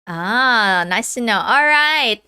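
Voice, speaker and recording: A woman's voice: fairly high pitch, animated, takes it slowly, pushed and bright, clean tone. A woman in her thirties hosting a casual livestream, chatting with viewers, laughing often and reading the chat aloud. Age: 30s. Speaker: livestream host.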